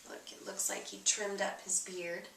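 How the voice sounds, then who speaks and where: A woman teacher doing an expressive storybook read-aloud for young children, with casual asides. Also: medium pitch, moderate pace, gravelly, a little hiss or hum. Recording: echoey room, distant microphone.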